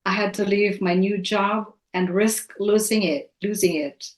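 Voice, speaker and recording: A middle-aged woman from the Philippines, giving an earnest personal testimony in a measured, sincere way. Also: medium pitch. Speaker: guest, elementary teacher. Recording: echoey room.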